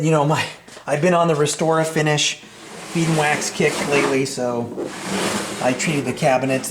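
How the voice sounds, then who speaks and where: A man's voice: a little high, a little hiss or hum. Middle-aged man rambling in an echoey room. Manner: rambling, halting, hesitant phrasing. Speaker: vintage audio repair channel host. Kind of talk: casual unscripted product chat. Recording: roomy, echoey sound.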